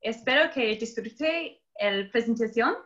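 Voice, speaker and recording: A woman's voice: fairly high pitch, expressive, slow, fairly bright tone. Australian-accented woman, host, careful read-aloud intro, muffled lo-fi sound. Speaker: host, institute director. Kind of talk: scripted introduction.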